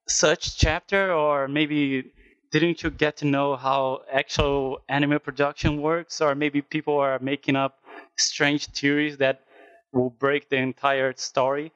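A man's voice: mid-range voice, a bit bright, smooth, dry recording. Brazilian man, hesitant, on a lo-fi mic. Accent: Brazilian Portuguese. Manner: hesitant, searching for words with fillers. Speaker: podcast guest. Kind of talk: casual chat.

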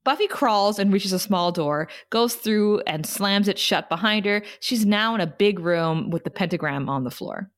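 A woman's voice: medium pitch, moderate pace, hardly any pauses. A woman reading stage directions as the narrator of a podcast table read, in scripted narration.

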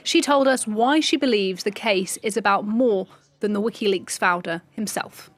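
A woman's voice: medium pitch, normal speed. A woman news presenter introducing a report in broadcast style.

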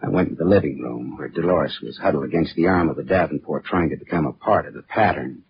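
An American actor playing a wry, tough private detective in a 1940s radio drama, terse and deadpan. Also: male voice, a bass voice, fast, creaky, noisy background. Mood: grim, wry narrating. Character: wry, tough male private detective narrating his case. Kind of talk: 1940s radio drama acting, hardboiled narration. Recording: dull old 1940s radio, music behind.

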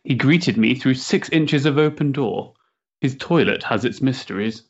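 An actor playing a restless, sensitive young Victorian gentleman in a stage play, hesitant and politely mannered. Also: mid-range voice, moderate pace, slightly rough, highs cut off. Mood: amused, dry. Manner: hesitant, polite, mannered upper-class diction. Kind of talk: period stage-play acting.

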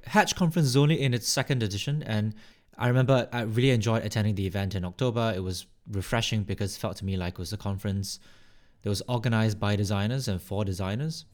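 A man's voice: on the low side, quick pace, a little mellow. A man co-hosting a podcast in a conversational style. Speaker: podcast co-host.

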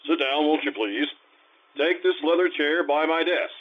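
An actor playing a falsely genial, self-important school principal in an old-time radio comedy. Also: male voice, fairly high pitch, normal speed, natural voice. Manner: oily, self-important politeness. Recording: tinny, phone-like old radio-style sound.

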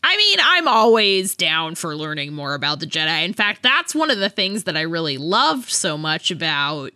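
A woman chats as a podcast co-host in a casual book discussion. Her voice is bright and a little low, recorded dry and close. She takes her time, tentatively working through each thought. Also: very animated, moderate pace, dry recording.

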